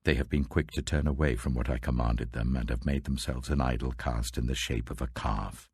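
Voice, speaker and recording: A man's voice: a bass voice, fairly even intonation, brisk, a little mellow, slightly rough, no room sound, voice pushed down, exaggerated voice. A man voicing a stern, wrathful God in a dramatic scripture reading, grave and commanding. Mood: stern anger. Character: the Lord God, stern, wrathful male deity.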